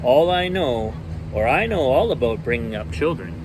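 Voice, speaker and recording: A fussy, protective mother duck from a bedtime storybook, as a man reads her aloud. The speech is fretful and motherly, at an ordinary male pitch. Clear background noise runs behind the voice. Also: expressive, moderate pace, natural voice. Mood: assured, motherly.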